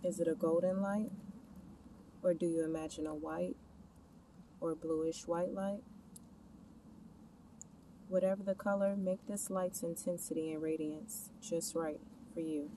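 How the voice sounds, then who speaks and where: Low-pitched woman guiding a meditation, distant noisy recording. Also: steady tone, measured pace, pauses often. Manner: instructional, addressing the listener with gentle imperatives and questions. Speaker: meditation guide. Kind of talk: guided meditation, scripted narration. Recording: distant mic, clear background noise, ambient rumble.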